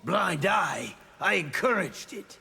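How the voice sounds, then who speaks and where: A hardened, arrogant soldier from a fighting game, gruff and scornful. The voice is heavily gravelled and fairly high, swinging widely in pitch. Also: male voice, lively intonation, slow pace, very noisy, heavily acted. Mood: contemptuous, boastful. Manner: gruff, contemptuous. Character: arrogant, brutal army officer, hardened villain soldier. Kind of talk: video game character dialogue.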